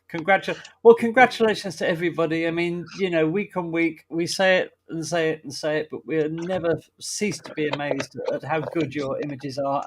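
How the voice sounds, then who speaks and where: Encouraging man co-hosting, slightly high voice. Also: slight background noise. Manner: warmly encouraging, quick to praise. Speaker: co-host. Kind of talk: casual chat on a live stream.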